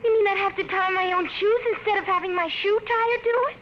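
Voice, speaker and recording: A woman's voice: very high-pitched, fairly even intonation, fast. A woman voicing a spoiled little girl who whines and pleads, in 1950s radio drama acting. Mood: whiny. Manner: whiny, pleading. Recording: muffled hissy 1950s radio broadcast.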